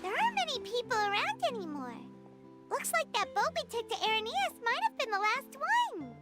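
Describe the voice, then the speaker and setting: A woman voicing a small, chirpy, easily spooked fairy companion in a video game, with a squeaky childlike voice and an exclamatory way of talking. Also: huge pitch swings, normal speed, full character voice. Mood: uneasy, alarmed. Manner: refers to self in third person, exclamatory. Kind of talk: animated video game voice acting. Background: music.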